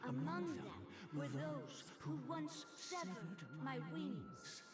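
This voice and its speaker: Grandiose, echoing, menacing dream master. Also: female voice, high voice, big pitch swings, distant mic, exaggerated voice. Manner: slow, ominous, formal pronouncements. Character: menacing, grandiose ancient master of dreams, bodiless hive being. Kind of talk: theatrical video game voice acting. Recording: echoing, processed game audio under loud music.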